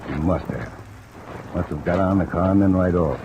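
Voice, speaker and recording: A man's voice: low voice, moderate pace. An American man playing a jaded, defensive private detective in an old-time radio drama, blunt and offhand. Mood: matter-of-fact. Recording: muffled, noisy vintage radio recording. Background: steady rain.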